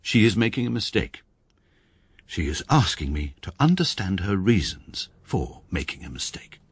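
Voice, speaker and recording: A man's voice: deep voice, sing-song, breathy edge, no echo, heavily acted. An English actor playing a precise, dry, middle-aged male barrister who narrates his own story in a radio drama. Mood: measured. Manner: measured, dry and literal.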